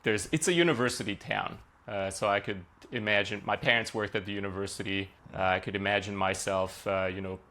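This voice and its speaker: A man in his thirties, originally from Hungary, telling his story as a software engineer guest on a podcast, with plenty of fillers. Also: fairly low pitch, fairly even intonation, a little fast, few pauses. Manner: conversational with frequent uh and like fillers. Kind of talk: podcast interview, relaxed storytelling.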